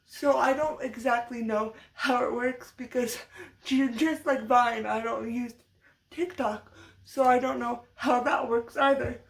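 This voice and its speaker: A young woman with a high voice, speaking slowly and haltingly. Her voice is fading from allergies and her nose is stuffed up. She keeps restarting words as she chats to camera in a loose, unscripted vlog. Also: fairly even intonation, vocal fry. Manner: halting, many restarts and stutters. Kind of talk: unscripted casual commentary vlog.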